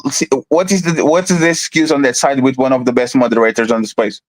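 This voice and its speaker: A man's voice: medium pitch, steady tone, quick pace. A man hosting a podcast debate, pressing his guest with repeated questions and talking over him. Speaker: podcast host. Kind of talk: heated debate.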